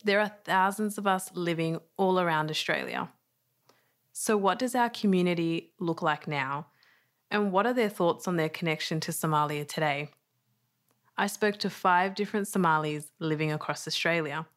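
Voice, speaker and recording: A woman narrating an audio documentary in a calm, scripted storytelling manner. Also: on the low side, moderate pace.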